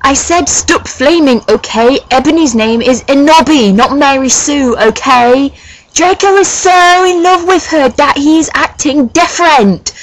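A woman voicing an overdramatic, defensive teenage girl author with shrill, whiny, exaggerated emphasis. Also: a little slow, no room sound, rough recording. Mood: defensive, petulant.

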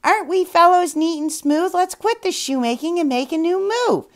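A woman's voice: very high-pitched, moderate pace, pitched above the performer's own voice. A woman voicing tiny merry elves in a storybook, singing gleefully in a sing-song way.

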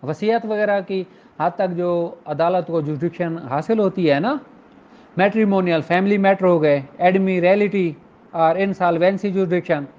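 A man with a Pakistani accent giving an informal, explanatory lecture as a law teacher. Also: high voice. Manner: explanatory, switches between Urdu and slowly read English. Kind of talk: informal lecture, reading out and explaining legal articles. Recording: dull lo-fi recording.

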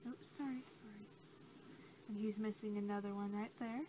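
A young woman speaking softly and haltingly in an ASMR role-play as an examiner, her hushed voice often dropping toward a whisper. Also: medium pitch, steady tone. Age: young adult. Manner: gentle, halting delivery that drops into whispers. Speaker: ASMR creator role-playing a creature examiner. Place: small cluttered room indoors. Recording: noisy amateur home recording.

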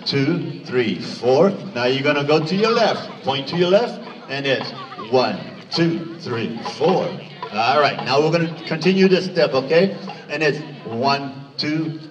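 A man's voice: mid-range voice, dull audio, slight background noise. A man teaching children hula steps on stage, counting aloud in an upbeat way. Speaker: hula instructor. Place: large hall at a children's show. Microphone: phone camera far from stage. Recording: distant phone recording, loud music and crowd.

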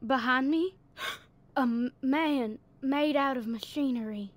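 Woman playing a high, blustering country sheriff. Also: slow pace. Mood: alarmed. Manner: folksy, blustering, commanding. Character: gruff, suspicious armed female country sheriff. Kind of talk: 1940s radio drama acting.

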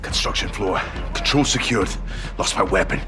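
A gritty special forces sergeant in a combat game. His voice is low and gravelly, giving clipped, terse reports. It sounds echoey, with a game score behind it. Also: animated, moderate pace, music in the background. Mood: grim focus. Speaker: soldier character. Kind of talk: military video game acting.

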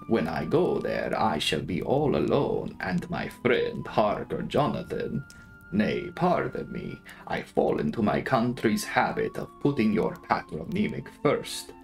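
A man voicing a proud, scornful old aristocratic vampire count in a dramatic audiobook, speaking slowly and formally with heavy weight. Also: lowish voice, wildly swooping pitch, creaky, soft background music, full character voice. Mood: courteous, grave. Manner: slow, weighty, formal old-fashioned phrasing. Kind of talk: dramatic audiobook character reading.

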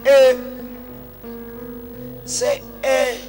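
Middle-aged lady pastor on a handheld mic outdoors, fairly high voice, soft music behind, keeps calling people forward. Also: level tone, extremely slow, rough recording. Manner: pleading, repetitive calls to come forward. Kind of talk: altar call sermon with interpreter. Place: outdoor stadium stage at night.

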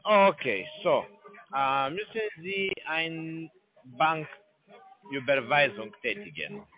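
Halting man on a tinny phone line. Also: on the high side, animated, husky, faint music behind. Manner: halting broken German, many fillers. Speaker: phone scammer caller. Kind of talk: phone call.

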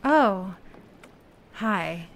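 A woman playing a self-doubting lone human raft dweller in an audio play, talking in a halting, plain-spoken, unsure way. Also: mid-range voice, lively intonation. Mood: guarded, tentative. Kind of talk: naturalistic audio play acting.

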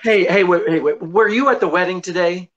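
A middle-aged man playing a jaunty, wisecracking gentleman detective husband in breezy 1940s radio comedy style. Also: high-pitched, brisk, lo-fi sound. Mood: inquisitive. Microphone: seated before camera on video call.

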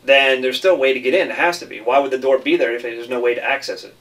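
A man's voice: mid-range voice, fast talker, fairly bright tone, recorded from a distance. A man in an argumentative discussion, questioning and challenging, picked up slightly away from the mic.

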